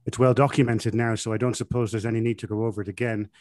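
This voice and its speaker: A man reading a scripted comic monologue aloud in a deadpan, self-satisfied manner. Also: lowish voice, fast, no echo, studio quality.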